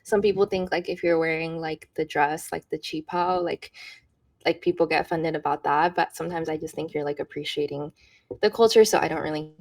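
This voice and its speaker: A woman's voice: sits low, fairly quick. A woman guest in a casual podcast chat, easygoing and laid-back, often giggling.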